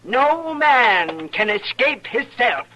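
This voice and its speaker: Sharp, scolding frontier wife. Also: female voice, on the high side, sing-song, slow pace, muffled recording, heavily acted. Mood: righteous, bitter. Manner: sharp, bossy, righteous. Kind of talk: old-time radio western acting. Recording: faintly noisy old radio recording.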